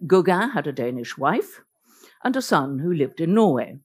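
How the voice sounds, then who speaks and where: A woman's voice: sits low, sing-song, normal speed, darkish tone, husky. A woman whose first language is Norwegian, giving an illustrated lecture as a biographer, telling the story vividly with wry asides.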